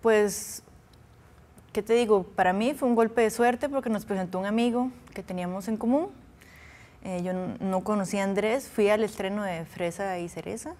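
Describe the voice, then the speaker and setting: A woman in her twenties from Peru, an actress and singer, talking in a friendly way in a studio interview, trailing off softly. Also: medium pitch, normal speed.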